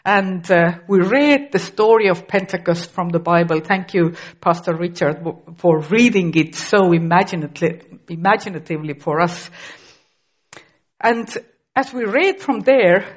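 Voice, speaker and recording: A woman's voice: lowish voice, measured pace. A middle-aged woman from Estonia, a native Estonian speaker with an Estonian accent, preaching a sermon carefully and with occasional restarts.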